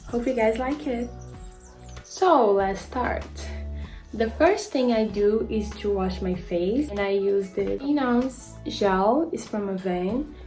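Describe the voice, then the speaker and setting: A woman's voice: medium pitch, slow, darkish tone, faint music behind. A woman in her twenties with a Brazilian accent, a model casually talking through a skincare routine, with frequent "like" fillers and stumbles over product names. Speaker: beauty vlogger and model.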